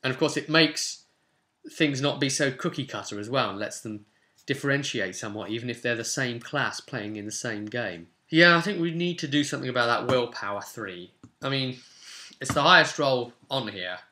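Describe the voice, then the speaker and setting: A man's voice: mid-range voice, lively intonation, normal speed, sharp tone. A man in his twenties chatting casually and thinking aloud as he explains a tabletop game to viewers. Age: 20s. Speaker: host of a tabletop roleplay channel. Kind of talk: casual explanatory chat while rolling a game character. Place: small home room, indoors. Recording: roomy echo, background hiss and clicks.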